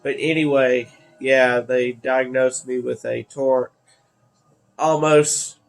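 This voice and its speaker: A man's voice: mid-range voice, creaky. A teenage man, congested from allergies, rambling with many fillers while commenting on a racing game. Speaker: gaming video creator.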